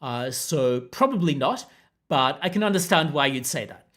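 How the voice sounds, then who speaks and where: A man with a mixed international accent, hosting a podcast and answering viewer comments in a measured, unruffled way. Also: fairly high pitch, lively intonation, fairly bright tone. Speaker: podcast host.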